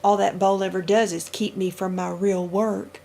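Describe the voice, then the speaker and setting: A cranky old man of the moon, puffed up with his own importance and grumbling at everything, as a woman reading a storybook puts him on. The voice is crackly and gravelly, with a husky edge. He huffs and blusters, then sinks into low mutters. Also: level tone, moderate pace, mellow. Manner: grumbling, pompous and huffy.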